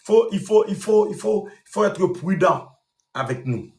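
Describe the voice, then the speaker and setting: A man's voice: sits high, expressive, a little slow, creaky, echoey room. A Haitian-born man talking straight to his viewers in a scolding monologue, repeating himself for emphasis. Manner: scolding, repetitive, addresses the audience directly. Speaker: online commentator.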